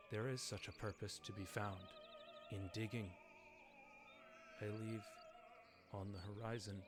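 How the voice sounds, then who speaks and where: A man voicing an earnest, naive, newly made robot worker from a game story, speaking solemnly and haltingly in short, simple statements. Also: on the low side, natural voice. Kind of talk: dramatic reading of game story text.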